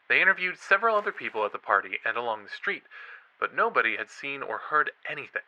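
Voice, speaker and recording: A man's voice: mid-range voice, sharp tone, poor audio. A man playing an eager college student and true crime podcaster, telling stories in a dramatic, playful way in an audio drama. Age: young adult. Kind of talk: audio drama acting, storytelling.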